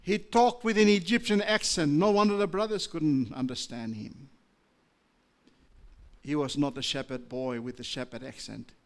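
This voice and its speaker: An Australian pastor preaching with a gravelly, slightly raised voice. He moves between low, measured storytelling and loud, impassioned peaks. His words come in short, repeated phrases. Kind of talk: preaching a sermon.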